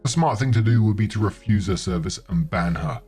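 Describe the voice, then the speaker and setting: Male narrator, very low voice, reads online stories, soft music bed. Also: fairly quick, slightly rough. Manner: reads commenters' posts aloud in an even, conversational storytelling tone. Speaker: narrator reading forum posts. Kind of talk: scripted narration. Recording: faint music underneath.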